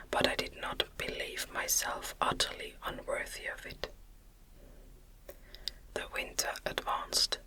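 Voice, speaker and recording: A woman narrating a gothic novel as ASMR in a breathy, unvoiced whisper, with gentle, deliberate phrasing. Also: poor audio.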